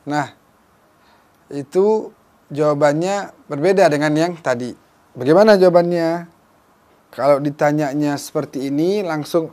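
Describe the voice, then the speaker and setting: A young Indonesian man teaching Arabic on a studio programme, his voice sitting a little high for a man. He is patient and methodical, repeating each phrase slowly before he explains it. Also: darkish tone. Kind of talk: televised Arabic lesson for beginners. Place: small dark studio with whiteboard.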